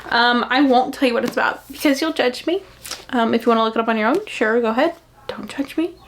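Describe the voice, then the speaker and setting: A woman's voice: on the high side, fast. Chatty young woman with audible background noise. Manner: animated, gushing, dramatic emphasis. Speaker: book reviewer and vlogger. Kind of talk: casual chatty book recommendation vlog.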